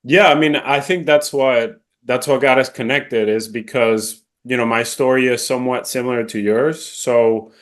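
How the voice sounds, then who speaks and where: A man's voice: mid-range voice, steady tone, moderate pace, hardly any pauses, a bit bright. A man in his thirties from Venezuela with a faint Venezuelan Spanish accent, a podcast guest talking casually and correcting himself as he goes. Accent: slight Venezuelan Spanish. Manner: rambling, self-correcting, many fillers. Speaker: podcast guest, real estate agent. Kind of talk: casual interview conversation.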